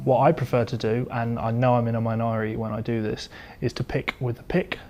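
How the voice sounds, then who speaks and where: A man's voice: mid-range voice, level tone, brisk, dull audio. Man teaching guitar, with playing behind. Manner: conversational, step-by-step explaining. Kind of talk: instructional guitar lesson, explanatory talk. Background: electric or acoustic guitar playing.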